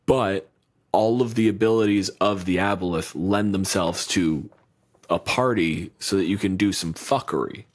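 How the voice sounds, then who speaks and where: A man's voice: sits low, normal speed. A man co-hosting a podcast in casual chat, blunt and profane, riffing with lots of energy. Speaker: podcast co-host.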